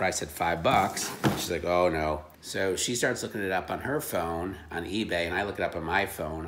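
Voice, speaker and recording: A man's voice: low voice, roomy sound, heavy background noise. A man showing secondhand finds in casual, offhand chat with a wry, joking manner.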